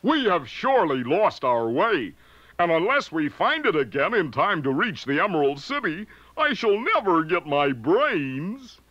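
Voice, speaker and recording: A man voicing a worried straw man, speaking slowly and drawn out, on a dull lo-fi recording. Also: on the high side, very animated, sharp tone, dry recording, pitched above the performer's own voice, exaggerated voice. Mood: fretful.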